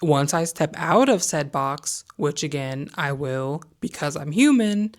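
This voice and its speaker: A woman's voice: low voice, animated, a little slow. A young woman talking candidly to camera in a conversational vlog, sharing opinions with frequent hedges. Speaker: vlogger. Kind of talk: casual talking-head vlog.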